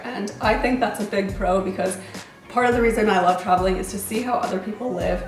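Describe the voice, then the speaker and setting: A woman's voice: mid-range voice, echoey room, recorded from a distance. A woman talking casually and with enthusiasm in a travel vlog, full of "like" and "really, really". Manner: enthusiastic, chatty, lots of "like" and "really, really". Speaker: travel vlogger.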